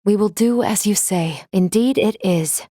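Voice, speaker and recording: A woman's voice: medium pitch, dark tone, dry recording, close to an everyday voice. Woman voicing a formal, logical female android, dry sound. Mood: polite, composed. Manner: formal, precise, measured diction. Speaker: video game voice actress. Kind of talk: scripted video game character lines.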